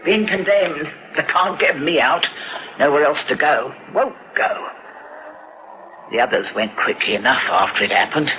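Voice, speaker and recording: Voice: medium pitch, unhurried, sharp tone, a little hiss or hum, rough recording. An actor playing a crazed, embittered old recluse from a derelict house, rambling eerily in broken phrases in a horror drama. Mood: defiant, bitter. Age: older. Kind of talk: dramatised horror story acting.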